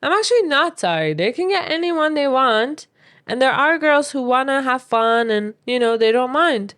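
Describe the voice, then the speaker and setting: A woman's voice: high-pitched, animated, normal speed, fairly bright tone, clear, resonant tone. A woman co-hosting a podcast in casual chatty talk, opinionated and emphatic as she gives advice. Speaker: podcast co-host.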